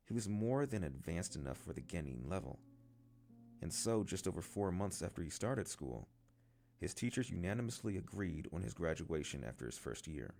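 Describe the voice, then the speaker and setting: A man narrates a novel in a deep voice with noticeable fry. His intonation is lively as he reads the scripted prose. Guitar music plays clearly underneath. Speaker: amateur story reader.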